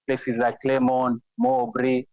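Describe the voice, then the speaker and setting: A Congolese-accented man calls in to a talk show, talking in halting bursts full of fillers and restarts. His line sounds dull and lo-fi, with a dry, close sound and no room around it. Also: mid-range voice, monotone, darkish tone, dry recording. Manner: hesitant, many fillers and restarts. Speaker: caller. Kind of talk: phone-in call. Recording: dull lo-fi phone-in audio.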